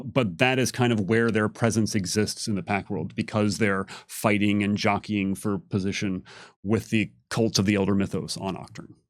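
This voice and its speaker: A man's voice: lowish voice, no echo, clean studio sound. A man casually explaining fictional lore as a game developer, unscripted, with emphatic stresses on key words. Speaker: game developer answering lore questions on a live stream.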